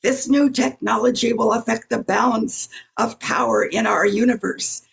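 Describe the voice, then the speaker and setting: A woman's voice: a little high, steady tone, moderate pace, creaky, slightly rough, heavily acted. A woman playing a huge, stolid alien police inspector with formal speech in an amateur radio play. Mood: businesslike. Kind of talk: amateur old-time radio play acting.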